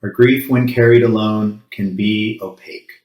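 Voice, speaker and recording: Low, even-voiced man leading a solemn ritual. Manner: measured, solemn, pausing between short phrases. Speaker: congregation worship leader. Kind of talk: solemn spoken grief ritual. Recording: roomy, echoing sound.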